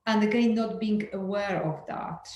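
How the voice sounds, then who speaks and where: Slow, hesitant woman. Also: mid-range voice, mellow, highs cut off. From Poland. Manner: hesitant, many fillers and restarts, candid. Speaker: guest speaker. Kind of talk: casual group talk. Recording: echoey room with faint ambient noise.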